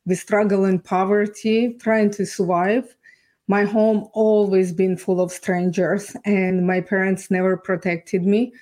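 Even-toned woman with a Ukrainian accent. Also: mid-range voice. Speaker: podcast guest. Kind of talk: personal testimony storytelling.

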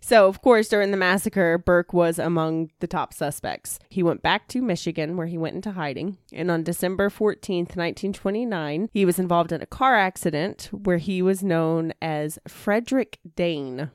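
Female true crime co-host, lower register, informal storyteller with a wry streak, very dry recording. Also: moderate pace, few pauses, dry recording. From Georgia, USA. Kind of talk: storytelling from notes with casual banter.

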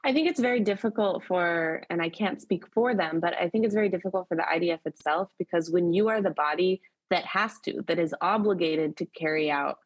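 Woman, PR expert guest, lowish voice, confident, emphatic, dry close sound. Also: a little fast, few pauses, slightly rough, no room sound, rough recording. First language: English. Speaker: social media and PR expert guest. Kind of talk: podcast conversation.

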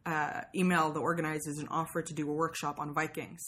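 A woman's voice: deep voice, little pitch movement, brisk, fairly bright tone. A woman from Sweden, a native Swedish and Russian speaker, chatting casually and wryly in English as an interview guest. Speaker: guest, journalist and podcaster. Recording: faint background noise.

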